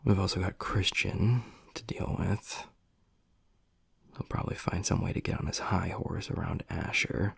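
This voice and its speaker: A man playing a gruff young werewolf pack alpha and protective, secretly tender boyfriend, murmuring bluntly in a husky voice in an intimate audio roleplay. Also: measured pace, no room sound, studio quality, full character voice. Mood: stern, irritated. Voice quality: husky, gravelly, breathy low voice.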